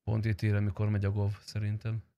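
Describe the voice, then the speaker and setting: A man's voice: on the low side, completely flat delivery, dark tone, no room sound. A young man streaming an online roleplay game, negotiating in casual, slangy, insistent talk with some swearing, on a close microphone. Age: young adult. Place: indoor streaming room.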